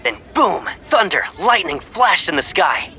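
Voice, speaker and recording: A man's voice: sits high, big pitch swings, measured pace, sharp tone, exaggerated voice. An actor voicing a cocky, playful young male pilot who teases his childhood friend, in video game style. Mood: boastful storytelling. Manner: playful, teasing, boastful. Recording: background music under the voice. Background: music.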